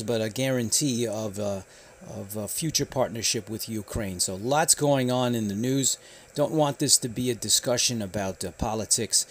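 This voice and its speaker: A man from the United States hosting a radio hobby channel, giving a casual news update in a halting, informal way. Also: medium pitch. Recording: faint background noise.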